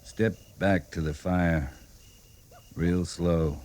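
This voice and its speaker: Steady, low-voiced cavalry captain. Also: male voice, a little hiss or hum. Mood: calm, guarded. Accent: American. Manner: measured, terse, dry. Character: steady, authoritative frontier cavalry captain, calm under threat. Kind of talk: old-time radio drama acting.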